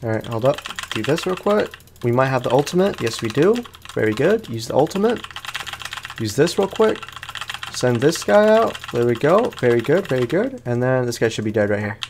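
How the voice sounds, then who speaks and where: A man's voice: mid-range voice, very animated, normal speed, creaky, background noise. A man giving casual let's play commentary on a video game, chatting to viewers in slangy language. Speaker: gaming YouTuber.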